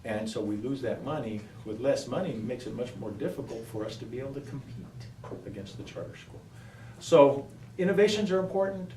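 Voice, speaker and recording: A man applying for a school board seat answers at a public forum, pausing and repeating phrases for emphasis. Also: medium pitch, lively intonation, warm tone. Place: indoor public forum room. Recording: roomy echo, distant mic, faint noise.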